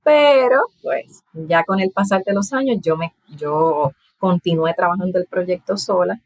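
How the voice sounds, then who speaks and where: A woman in her thirties from Puerto Rico with a Puerto Rican accent and soft aspirated s sounds, explaining in a casual interview and laughing often. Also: lowish voice, unhurried, no echo. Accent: Puerto Rican Spanish, aspirated s. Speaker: guest, language content creator.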